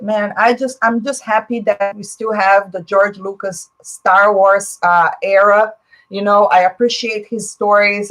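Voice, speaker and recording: Chatty Brazilian woman streamer, higher voice. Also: low-quality recording. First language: Portuguese. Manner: chatty, opinionated, frequent fillers. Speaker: live stream host. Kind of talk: casual live chat reading viewer comments.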